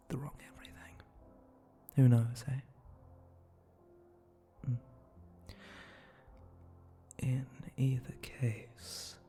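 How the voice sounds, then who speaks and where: Man playing a slow, gravelly, whispery flirty monster bartender. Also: mid-range voice, warm tone, a little hiss or hum. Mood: amused, casual. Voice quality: breathy, often dropping into a whisper. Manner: slow, teasing, murmuring, lingering pauses. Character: flirty, teasing spider-monster male bartender, sly and relaxed. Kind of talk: intimate ASMR roleplay monologue.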